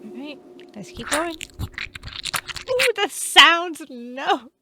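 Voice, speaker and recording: A woman's voice: high voice, very animated, takes it slowly, a little hiss or hum. A woman doing a game playthrough, commenting casually and reading text aloud. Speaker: gamer narrating a playthrough. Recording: loud game music behind voice.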